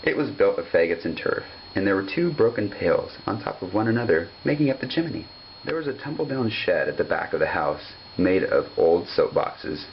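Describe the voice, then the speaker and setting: A man's voice: deep voice, very animated, moderate pace, gravelly. A man narrating a children's story from the page, on a dull home recording with some hum. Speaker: storybook reader.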